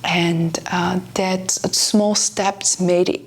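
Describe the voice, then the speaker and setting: A woman with a Korean accent speaks in an unhurried, earnest vlog voice, pitched a little low. Her delivery is soft-spoken, and some phrases slip toward a near whisper. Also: fairly even intonation, husky. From South Korea. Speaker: pianist and author. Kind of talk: reflective vlog talk to camera.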